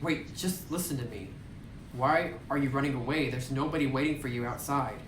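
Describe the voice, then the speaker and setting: A man's voice: medium pitch, moderate pace, reverberant, a little hiss or hum, lightly acted. A man reading out the lines of a lonely, secretive male doll maker from a game, in a plain reading voice, recorded on a distant phone mic. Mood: pleading. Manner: reads game dialogue aloud plainly.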